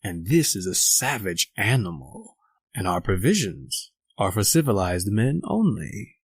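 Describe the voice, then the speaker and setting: An actor playing a cold, calculating, educated murderer in a radio horror drama, speaking formally and deliberately. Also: male voice, lowish voice, very animated, slightly rough, no room sound, full character voice. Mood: cold, superior. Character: cold, calculating educated murderer doctor, leader of escaped convicts. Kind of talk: old-time radio horror acting, narrating and dialogue.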